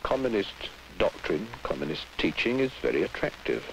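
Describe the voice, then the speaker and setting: A man interpreting into English in a measured, halting way, recorded in an old broadcast. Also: deep voice, big pitch swings, gravelly, crowd noise, low-quality recording. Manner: measured, halting, restarts phrases. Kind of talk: live interpreting. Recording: old broadcast with faint hiss.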